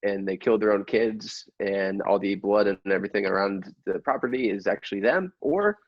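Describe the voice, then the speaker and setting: A man playing a bumbling, evasive police inspector who rambles haltingly in improvised radio comedy. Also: fairly low pitch, no room sound, dull audio, natural voice. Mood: rambling, evasive.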